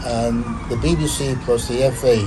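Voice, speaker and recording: Older man, slow and measured. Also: mid-range voice, fairly even intonation, heavy background noise. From Nigeria. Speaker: interview guest. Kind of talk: conversational interview. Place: studio.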